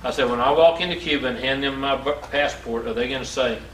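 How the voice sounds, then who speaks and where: A man's voice: medium pitch, fast talker. A man, telling folksy personal stories to an audience in an inspirational talk, acting out the dialogue. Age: 60s. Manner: folksy, animated storyteller with repetition and acted-out dialogue. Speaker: speaker telling his life story to an audience. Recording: roomy, distant mic, faint background noise.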